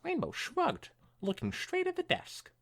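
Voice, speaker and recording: A podcast co-host narrates fan fiction. The delivery is highly animated in pitch, moving between storytelling and casual comment. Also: unhurried, vocal fry.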